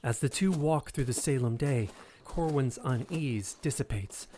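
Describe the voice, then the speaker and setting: A man's voice: medium pitch, a little slow, mellow, a little hiss or hum. A man reading scripted dramatic narration for an audio drama.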